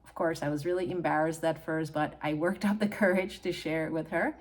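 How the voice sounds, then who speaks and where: Middle-aged woman, Hungarian accent, fairly low voice, earnest, encouraging, talking to camera. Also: steady tone, a little fast. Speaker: author and channel host. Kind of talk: personal talk to camera.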